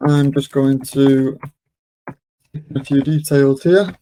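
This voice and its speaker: A man hosting a webinar, casually talking through a software demonstration step by step without a script. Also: mid-range voice, steady tone, slow pace, a little hiss or hum, low-quality recording. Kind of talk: unscripted software walkthrough.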